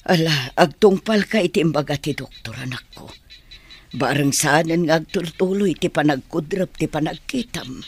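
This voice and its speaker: A woman acting a gently advising older mother in a Filipino radio drama, with a husky voice and a Filipino accent. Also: low-pitched, moderate pace, slight background noise. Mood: concerned.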